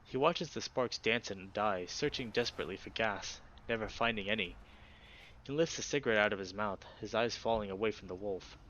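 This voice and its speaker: A man's voice: on the low side, a little fast, fairly bright tone. Man narrating, dull faintly noisy recording. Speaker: host reading a visual novel aloud. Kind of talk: narrating story prose.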